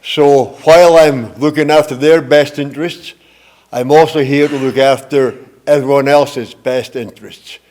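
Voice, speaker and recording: An older man addresses a forum as a political candidate. His delivery is measured and earnest, with plain wording and a middling pitch. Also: fairly even intonation, darkish tone. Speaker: mayoral candidate. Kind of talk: prepared campaign speech at a forum.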